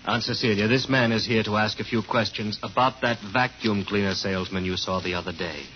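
An actor playing a controlled, condescending nephew in 1950s radio drama, coaxing and patronizing. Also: male voice, on the low side, fairly even intonation, a little fast, lightly acted. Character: controlled, condescending nephew minding his aunt. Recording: muffled old radio, noisy background.

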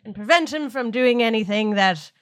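A woman's voice: a little high, animated, normal speed, no room sound, studio quality. A woman playing a tabletop role-playing game, slipping between in-character speech and casual talk, wordy and bossy.

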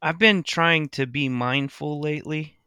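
A man's voice: on the high side, measured pace, pushed and bright, clean tone, no echo, studio quality. A middle-aged man, a podcast co-host, chatting casually in a reflective, earnest way. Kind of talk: casual chat.

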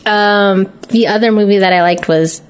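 A woman's voice: medium pitch, moderate pace, smooth. A woman co-hosting a podcast, chatting casually and giggling often as she tells her stories. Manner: giggly, animated storytelling. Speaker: podcast co-host. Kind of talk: casual chat.

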